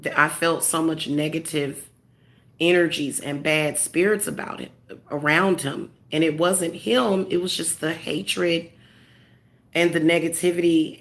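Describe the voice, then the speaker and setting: A woman speaking as a spiritual medium in a casual monologue, congested, halting with many fillers and restarts, earnest and tender. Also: deep voice, fairly bright tone.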